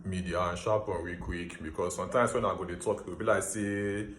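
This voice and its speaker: A Nigerian man with a Nigerian accent, hosting a casual explainer monologue and switching between Pidgin and English with emphatic, animated delivery. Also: sits low, a little fast, roomy sound, slight background noise. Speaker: host.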